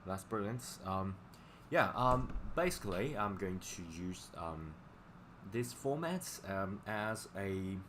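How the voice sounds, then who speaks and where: A man who is a native Chinese speaker chats casually on a livestream as a language teacher, speaking in a halting, repetitive way over noisy audio. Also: on the low side, lively intonation. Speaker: language teacher live-streamer.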